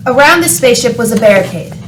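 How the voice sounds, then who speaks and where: Fairly high-voiced woman narrating. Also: animated, fast, noisy background. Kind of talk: scripted comic radio play narration.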